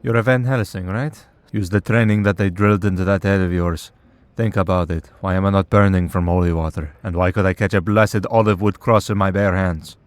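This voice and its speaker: A man's voice: deep voice, fairly even intonation, brisk, hardly any pauses, dry recording, natural voice. A man voicing a centuries-old vampire who hunts monsters, wry, patient and dryly exasperated, in a scripted audio roleplay. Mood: measured, wry. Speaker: voice actor.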